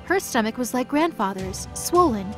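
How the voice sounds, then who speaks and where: A woman's voice: a little high, expressive, normal speed. A woman reading scripted story narration as the narrator of a mythology audio drama. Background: music.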